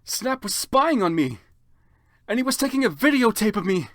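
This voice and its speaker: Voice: medium pitch, very animated, normal speed, dry recording, clean studio sound, pitched above the performer's own voice, natural voice. A reader voicing a melodramatic, indignant teenage goth girl in a story. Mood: outraged. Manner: indignant, overdramatic.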